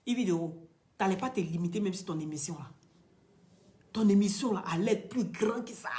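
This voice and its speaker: A woman with an Ivorian French accent speaks straight to her viewers in emphatic, sermon-like commentary. Her voice sits at an ordinary middle pitch. She climbs to loud, insistent peaks, then drops to hushed, breathy asides. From Ivory Coast. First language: Dioula or another Ivorian language. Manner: emphatic and preachy, loud rising peaks that drop to quiet near-whispered asides. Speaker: social media commentator. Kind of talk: impassioned direct-to-camera commentary.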